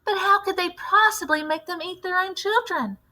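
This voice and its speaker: A woman's voice: very high-pitched, moderate pace, bright, forceful tone, voice pushed up. A woman voicing an anxious, curious young boy in a storybook read-aloud. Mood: alarmed.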